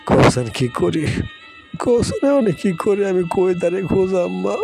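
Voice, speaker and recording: A man's voice: high-pitched, lively intonation, normal speed, gravelly, dark tone, soft background music. A man voicing a distressed, helpless village father who laments in a wailing, repetitive way in a cartoon. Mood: tearful lament. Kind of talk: Bengali moral-story cartoon dialogue.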